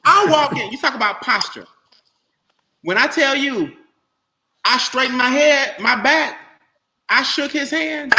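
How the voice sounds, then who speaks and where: A man telling a long, animated story on a group video call as host and trainer, loud and laughing, with casual slang. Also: extremely high pitch, measured pace, pauses often. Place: home room on video call. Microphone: webcam or laptop mic on video call. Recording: echoey room, faint noise.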